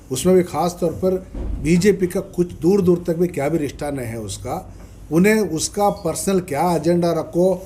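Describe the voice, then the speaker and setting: A man with a South Indian Dakhni Urdu accent giving a press statement as a spokesman, explaining haltingly and repeating himself with English terms mixed in. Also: on the high side, warm tone. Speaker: party minority-wing official, spokesman. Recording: noisy press meet recording.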